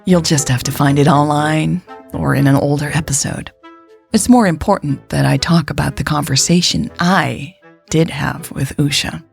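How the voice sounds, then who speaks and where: A woman's voice: low-pitched, fairly even intonation, moderate pace, gravelly, faint music behind. A woman reading a scripted first-person romance story in a confiding, conversational way, as a podcast storyteller.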